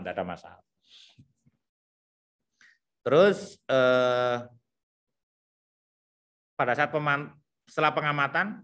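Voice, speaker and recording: A man's voice: mid-range voice, fairly bright tone. Man with a thick Javanese accent. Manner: repetitive, thinks aloud with many fillers. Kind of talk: explaining teacher competency criteria to colleagues.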